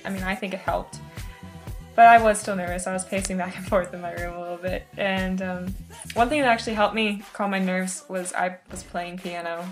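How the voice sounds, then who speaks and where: A young woman of about twenty talks casually, as if to her viewers. She has a mid-range voice and an informal, rambling delivery, often breaking off to correct herself. Music plays behind her. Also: medium pitch. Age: around 20. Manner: informal, rambling, self-interrupting. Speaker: student vlogger. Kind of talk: casual chat to camera recounting an experience.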